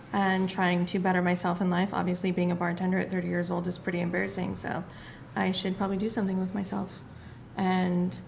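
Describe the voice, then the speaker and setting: A thirty-year-old woman from California speaking in a flat, matter-of-fact way. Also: medium pitch, creaky, a little hiss or hum. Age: 30s. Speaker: panel guest.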